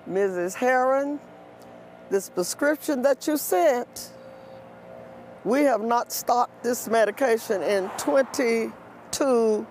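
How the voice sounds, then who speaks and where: A woman in her eighties, a retired nurse, slowly and deliberately recalling her working life in an outdoor interview. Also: fairly high pitch, a little mellow, slight background noise. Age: 80s. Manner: slow, deliberate storytelling. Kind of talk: interview recollection. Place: outdoors by a city street.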